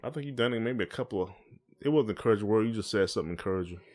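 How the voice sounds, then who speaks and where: A man's voice: fairly low pitch, animated, very fast, a little mellow. A man co-hosting a podcast in casual conversation, with a folksy, colloquial way of talking. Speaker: podcast co-host.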